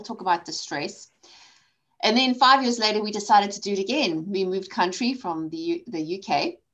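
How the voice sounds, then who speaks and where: A woman in her forties from South Africa, a guest in a conversational interview, telling her story candidly with quick asides. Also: on the low side, a bit bright, low-quality recording. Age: 40s. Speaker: podcast guest, coach and author.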